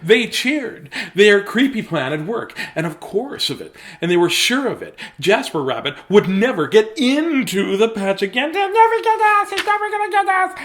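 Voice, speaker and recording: A woman with a high voice reads a children's story aloud. Her delivery is playful and acted out, with phrases repeated for fun. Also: very animated, moderate pace, few pauses. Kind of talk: animated picture-book storytelling.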